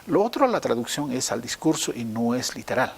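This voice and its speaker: A man's voice: medium pitch, sing-song, a little fast, gravelly. A man giving an explanatory interview in a didactic way, rephrasing his points step by step. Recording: noticeable hiss behind the voice.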